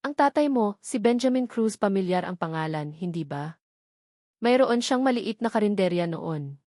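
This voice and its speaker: A woman's voice: medium pitch, lively intonation, moderate pace, mellow, dry recording, studio quality, close to an everyday voice. A woman voicing a taunting, triumphant villainess in a dramatic romance story, mocking and cruel. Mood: cruel, gloating.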